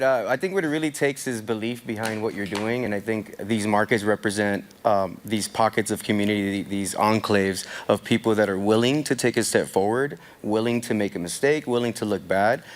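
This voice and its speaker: Earnest man from El Salvador, lowish voice. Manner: earnest, reflective. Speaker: panel guest. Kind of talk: live panel conversation.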